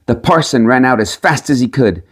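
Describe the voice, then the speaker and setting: A man's voice: mid-range voice, lively intonation, moderate pace, breathy edge. A man reading a storybook tale aloud as scripted narration. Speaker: audiobook reader.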